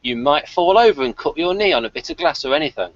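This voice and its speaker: British man, higher-pitched, dryly wry. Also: lively intonation, fast, no room sound. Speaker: podcast co-host. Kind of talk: casual review chat, partly reading out notes. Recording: slightly dull, lo-fi voice recording.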